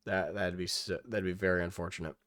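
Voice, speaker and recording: A young man hosting a reaction video, losing his voice and hoarse, rambling casually with many restarts and the odd swear. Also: sits low, fairly even intonation, fast talker, a bit bright, dry recording.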